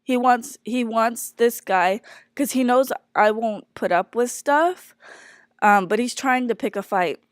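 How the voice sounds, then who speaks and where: A woman's voice: on the high side, a little slow, dry recording, studio quality. A congested woman candidly talking through a stressful work situation, hesitant and full of fillers and restarts. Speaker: speaker sharing a personal work situation. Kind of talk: candid personal monologue.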